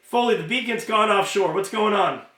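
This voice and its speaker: A man's voice: high voice, normal speed, voice pushed up. A man voicing an irritable fairy police commander in a storybook, curt and commanding as he barks orders. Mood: urgent. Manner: curt, commanding, shouts into comms. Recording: roomy, echoing home recording.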